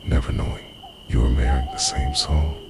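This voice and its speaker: A man playing an obsessive, centuries-old lover in an intimate ASMR roleplay, half-whispering in a breathy, confessional way, tender and possessive. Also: very deep voice, steady tone, normal speed. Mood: possessive, intense. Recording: noisy, owls and insects behind.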